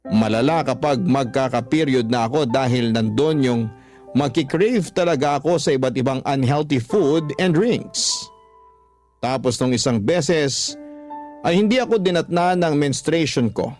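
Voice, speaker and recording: A man's voice: mid-range voice, measured pace, a little mellow. A male storyteller reads a personal confession as scripted radio narration. His voice sits in a middle register, with faint music playing underneath. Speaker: storytelling host reading a listener's letter.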